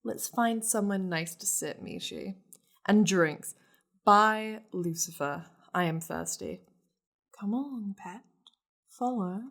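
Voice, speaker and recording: A woman's voice: mid-range voice, takes it slowly, creaky, fairly bright tone, heavily acted. A woman voicing a possessive, wealthy demon lady in an intimate ASMR roleplay, flirtatious, teasing and commanding with haughty endearments. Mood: gracious, haughty.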